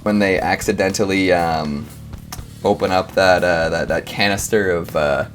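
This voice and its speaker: A man hosting a casual comedic reaction show, talking in an animated, wry, rambling way with sudden exclamations. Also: sits low, a little slow, soft background music. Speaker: host reacting to scary clips.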